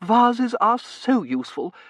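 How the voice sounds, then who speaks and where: A man's voice: extremely high pitch, big pitch swings, slow pace, no echo, pitched above the performer's own voice, full character voice. A man voicing a chatty, managing society matron in an audiobook, fluting and self-assured. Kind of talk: audiobook character voice.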